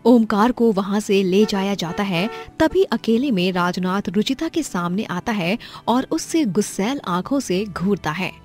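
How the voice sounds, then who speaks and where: A woman's voice: mid-range voice, lively intonation, measured pace, hardly any pauses, darkish tone, faint music behind. A woman reading a scripted story as its narrator.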